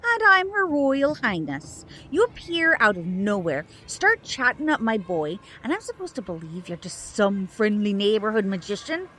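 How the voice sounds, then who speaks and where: An actress playing a fiercely protective, suspicious mother in an audio drama, speaking in a stern, sarcastic, deliberate way. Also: medium pitch, very animated, sharp tone, slight background noise.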